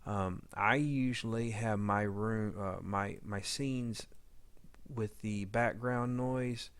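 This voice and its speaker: A man giving an informal audio editing walkthrough, halting and rambling as he thinks aloud. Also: lowish voice, steady tone, clean tone, no echo. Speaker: audio drama editor giving a demo. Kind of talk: informal software demo walkthrough.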